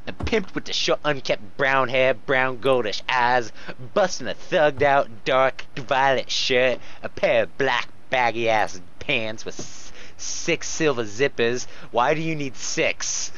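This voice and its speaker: Muffled lo-fi guy reading stuff out for laughs, mid pitch, sarcastic, rambles and swears a lot. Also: slow pace, fairly bright tone, a little hiss or hum. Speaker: host reading fan fiction aloud with running commentary. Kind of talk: casual comedic read-aloud with mocking asides.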